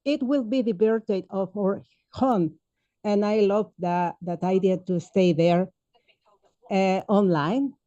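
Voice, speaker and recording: A woman's voice: mid-range voice, dark tone. Muffled woman on a video call. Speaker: meeting participant. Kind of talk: spoken remarks in a meeting.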